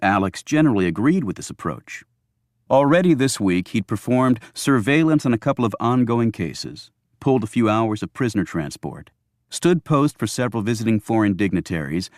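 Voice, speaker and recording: A man's voice: lowish voice, animated, normal speed, dry recording, clean studio sound. A man reading a thriller audiobook as scripted narration.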